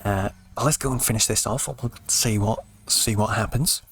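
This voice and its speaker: A British man giving laid-back, explanatory let's play commentary in an informal way, his voice slightly croaky. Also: fairly low pitch, moderate pace, fairly bright tone. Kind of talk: casual game commentary.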